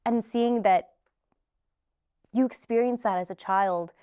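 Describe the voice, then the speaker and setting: Woman interviewer, mid-pitched, dry recording; friendly, encouraging conversational questioner. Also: slow pace, dark tone, clean tone, no room sound. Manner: encouraging, backchannels often.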